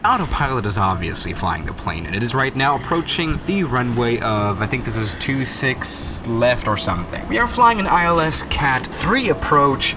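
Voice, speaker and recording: A man narrating a flight simulator landing in an upbeat, explanatory way. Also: mid-range voice. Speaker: flight sim youtuber. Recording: noisy video played back.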